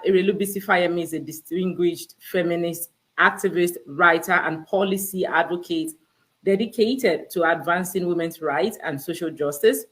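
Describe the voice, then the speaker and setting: A woman's voice: fairly low pitch, fairly bright tone. A woman hosting a live online interview, chatty and polite, with plenty of "you know" and "um" fillers. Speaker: host.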